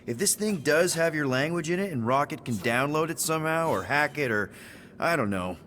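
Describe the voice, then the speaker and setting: An actor playing an easygoing, friendly spaceship crew leader in a video game, speaking in casual, rambling slang. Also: male voice, a little high, lively intonation, fairly quick, fairly bright tone, slight background noise. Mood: sincere, friendly.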